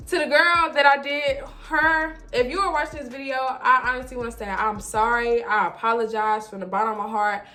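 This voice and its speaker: A woman vlogger telling a casual story in an animated, emphatic, slangy way, often repeating herself. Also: fairly high pitch, a little fast, few pauses. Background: background music.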